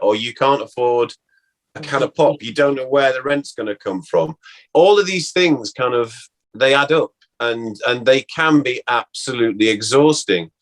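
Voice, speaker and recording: A man's voice: medium pitch, fairly bright tone, rough recording. A man talking candidly and wryly in a casual, reminiscing conversation as an interview guest. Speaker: interview guest, former actor.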